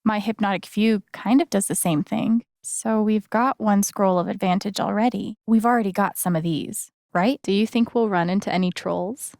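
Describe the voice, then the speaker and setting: Woman voicing young video game heroine, casual n sincere, gentle, bit wry, mid pitch, super dry sound. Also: moderate pace, gravelly, few pauses, no echo, lightly acted. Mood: casual, thoughtful. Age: young adult. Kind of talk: naturalistic video game voice acting.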